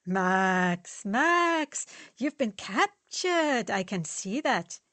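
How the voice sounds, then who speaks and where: A woman's voice: mid-range voice, animated, moderate pace, no room sound, natural voice. Woman doing brash, teasing young Fritz. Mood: boisterous. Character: lanky, brash young male student, teasing.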